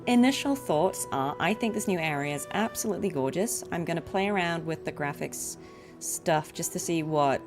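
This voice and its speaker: Female livestream host, lowish register, loose appreciative chatter over a clear music bed. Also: expressive, moderate pace. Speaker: streamer and voice actress. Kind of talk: casual livestream chat.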